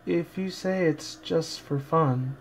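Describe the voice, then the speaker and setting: A man voicing a shy, gentle cartoon pegasus pony girl, speaking softly and hesitantly in a story reading. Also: fairly high pitch, slow pace, gravelly, heavily acted. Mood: timid, worried. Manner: hesitant, soft, meek.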